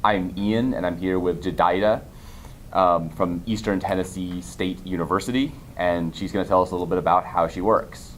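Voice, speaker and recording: A man hosting a conference interview series, asking relaxed questions and giving frequent affirming responses. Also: sits low, moderate pace, a little hiss or hum.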